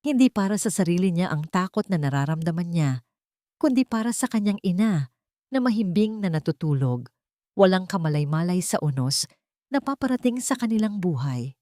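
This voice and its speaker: A woman's voice: on the low side, very animated, normal speed, mellow, dry recording, clean studio sound. A woman narrating a scripted, dramatic audio story.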